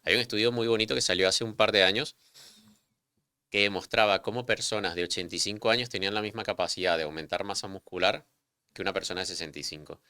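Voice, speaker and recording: A man's voice: low-pitched, quick pace, sharp tone, smooth, no room sound, studio quality. A man hosting a podcast, chatting casually and asking his guest questions. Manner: conversational, poses questions, some restarts. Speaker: podcast host. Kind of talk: interview conversation.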